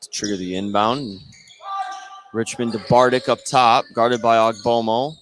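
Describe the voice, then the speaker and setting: A man's voice: medium pitch, steady tone, unhurried, a bit bright. Man calling basketball play-by-play over game noise. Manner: narrates action in short clipped phrases. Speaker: play-by-play sports commentator. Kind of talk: live basketball radio play-by-play commentary. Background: basketball game with bouncing ball.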